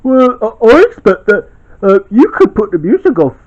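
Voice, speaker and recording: Guy doing a squeaky excitable kid friend, voice leaps all over, gets flustered fast. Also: highs cut off. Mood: chatty. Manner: flustered and dramatic. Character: excitable boy friend Titus.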